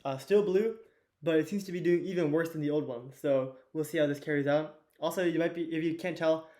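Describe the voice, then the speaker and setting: High school guy losing his voice, kinda high pitched, rambly teacher vibe with lots of uhs. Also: fairly quick, darkish tone. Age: teens. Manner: loose and chatty with many fillers and restarts. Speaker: student teacher of an investing course. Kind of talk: whiteboard lesson.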